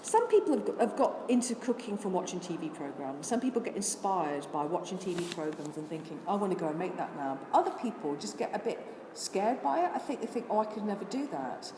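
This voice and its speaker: A woman's voice: on the low side, big pitch swings, fast, few pauses. An older woman from Scotland who has lost her accent, answering interview questions in an earnest, chatty way and listing things off with many restarts. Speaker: interviewee, vegan charity worker. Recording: echoey room with noise.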